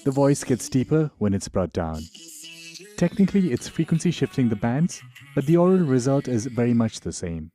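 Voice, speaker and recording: Casting: male tutorial instructor, very soft dark tone, lively intonation, informal and explanatory. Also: medium pitch, normal speed, soft background music. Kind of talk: tutorial narration.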